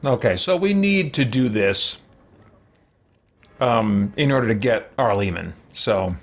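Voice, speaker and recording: A man's voice: mid-range voice, expressive, measured pace. A man giving casual gameplay commentary as host of a let's play.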